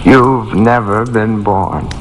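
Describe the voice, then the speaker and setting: An actor playing a gentle, naive, elderly guardian angel in a vintage radio drama, quaint and earnest in manner. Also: male voice, on the low side, slow. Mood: earnest, knowing. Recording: vintage radio, long reverb, faint noise.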